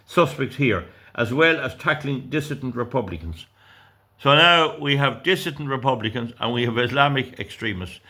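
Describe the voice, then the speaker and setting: A man's voice: medium pitch, bright, forceful tone. An Irish man in his sixties who reads out news items and rants about them indignantly, rambling and tripping over his words. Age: 60s. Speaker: political commentator. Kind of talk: reading out news and ranting.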